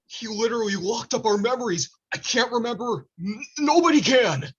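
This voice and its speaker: A woman voicing a small, glum, cowardly male candy henchman in an animated film script read, evasive and reluctant. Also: fairly high pitch, moderate pace, breathy edge, muffled recording. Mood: panicked.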